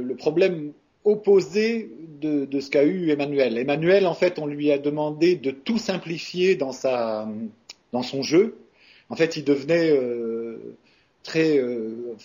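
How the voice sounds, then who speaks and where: A man's voice: medium pitch, warm tone. A man from France, a voice actor, answering interview questions in a hesitant, casual way with many fillers and restarts. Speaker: voice actor guest. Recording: muffled lo-fi recording.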